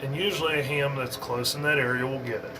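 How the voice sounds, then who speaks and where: A man talks through an informal hobby tutorial with a medium-pitched voice. His delivery is unscripted and halting, wandering as he explains. A clear, steady background noise sits behind him. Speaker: amateur radio hobbyist giving an introduction.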